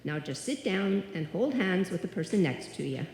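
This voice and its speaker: A woman's voice: on the low side, big pitch swings, normal speed, darkish tone. A woman playing a bossy older Irish widow and landlady in live old-time radio comedy, with a dramatic, crooning delivery. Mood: summoning, crooning. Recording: echoey hall, live stage performance.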